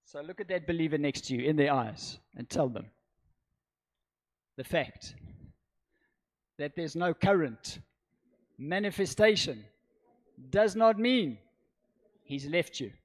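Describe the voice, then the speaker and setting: A man's voice: fairly high pitch, lively intonation, slow pace, pauses often. A middle-aged man preaching a sermon, emphatic, repeating short phrases and drawing out the stressed words, speaking into a handheld microphone. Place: church hall with a seated congregation. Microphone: handheld microphone on stage.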